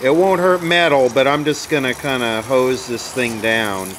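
Male repair hobbyist, mid-pitched, matter-of-fact and casual, slightly noisy amateur video sound. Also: normal speed, a bit bright. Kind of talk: casual hands-on repair narration.

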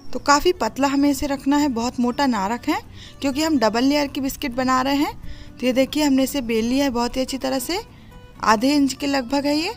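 Woman, high voice, instructive recipe voice-over, explaining step by step, light music behind. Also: fairly even intonation, fairly quick. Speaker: home cooking host.

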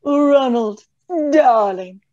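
A woman's voice: fairly high pitch, drawn-out words, dark tone. A woman playing a fretful, romantic, melodramatic heroine with theatrical delivery in an old-style radio play. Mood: adoring. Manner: theatrical, fluttery. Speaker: actor. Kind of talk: 1930s radio acting. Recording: dull lo-fi audio.

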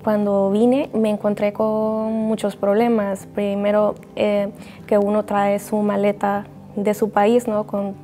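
A woman's voice: mid-range voice, dark tone. A woman in her thirties from El Salvador, speaking earnestly and thoughtfully about her experience as a migrant. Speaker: interviewee. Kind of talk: reflective testimony. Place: bright indoor hall.

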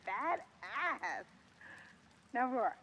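A woman's voice: on the high side, big pitch swings, very slow, sharp tone, a little hiss or hum, exaggerated voice, poor audio. An actress playing a playful, bossy, teasing teenage girl in a naturalistic video game. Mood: teasing, goading. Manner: cheeky, goading, slangy.

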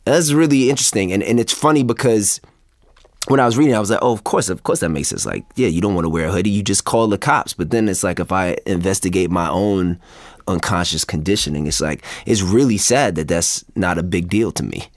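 Male interview guest, low register, candid and reflective, dry recording. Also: lively intonation, a little fast, no echo. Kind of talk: casual reflective conversation.

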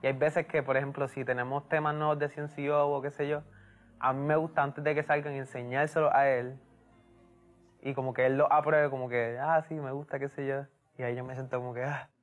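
A young man speaks in a soft, reflective way, pausing often and keeping his pitch flat. Faint music plays under his voice. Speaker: band member. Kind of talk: casual interview talk.

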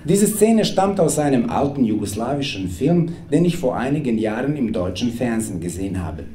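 A man's voice: medium pitch, big pitch swings, normal speed, dark tone. A man in his thirties, a native Serbian speaker, reading his own comic text aloud to a live audience at a stand mic on a small club stage, with a wry, playful delivery. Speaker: author reading from his book. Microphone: stand mic at a table. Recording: roomy club with audience noise. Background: audience laughter.

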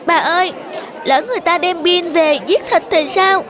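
Cartoon role: small village boy, very high voice, childlike, affectionate, pleading; clear music underneath. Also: moderate pace, crowd noise. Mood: worried, pleading. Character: affectionate, pleading little village boy attached to his dog. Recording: music behind the voice.

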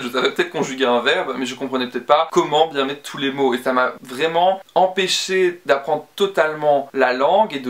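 A man's voice: a little high, a bit bright. A young man, a student, chatting earnestly to camera in a casual vlog from his bedroom, with plenty of fillers and restarts. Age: young adult. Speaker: student vlogger. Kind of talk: casual study-tips vlog. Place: bedroom with bookshelf and screen.

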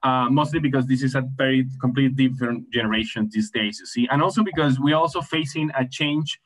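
A man's voice: mid-range voice, brisk, fairly bright tone, rough recording. A man introducing panel moderators on an online conference call, rambling with many fillers and repeated phrases. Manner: rambling, many fillers like "I mean, like" and "you see". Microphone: video call webcam.

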